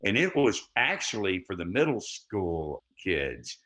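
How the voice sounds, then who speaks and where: A middle-aged man with a deep voice, a guest actor telling stories in a relaxed interview, often laughing and restarting sentences. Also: unhurried, noisy background. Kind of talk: conversational storytelling.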